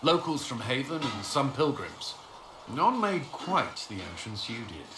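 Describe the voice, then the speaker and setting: An actor playing a plain-spoken military commander in a video game, with a brisk, earnest, commanding manner. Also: male voice, mid-range voice, very animated. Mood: earnest, easing. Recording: echoing space, music clearly underneath.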